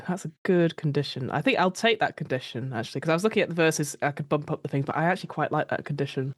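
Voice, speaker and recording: A woman's voice: deep voice, fast talker, dry recording, clean studio sound. A woman in casual conversation during a tabletop roleplaying game, narrating her character's actions.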